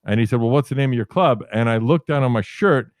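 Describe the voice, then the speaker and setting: A man in his fifties from New York City with a deep voice, telling rambling, self-correcting stories about his career as a podcast guest. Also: fast, dry recording, clean studio sound. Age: 50s. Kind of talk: casual storytelling interview.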